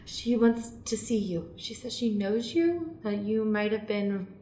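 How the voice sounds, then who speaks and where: A woman's voice: mid-range voice, animated, unhurried, warm tone, echoey room, natural voice. A woman playing an earnest, naive monk in an improvised comedy radio play, sincere and hesitant.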